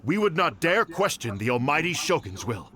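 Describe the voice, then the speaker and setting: A man's voice: a little high, big pitch swings, normal speed, crowd noise. A man voicing a deferential, apologetic clan retainer in a video game, with a humble and formal way of speaking. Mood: humble, submissive.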